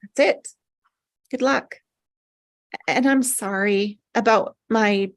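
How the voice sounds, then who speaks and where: A woman's voice: mid-range voice, slow pace, close to an everyday voice. A woman playing an anxious, apologetic doctor in a script table read. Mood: subdued. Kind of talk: acted script reading.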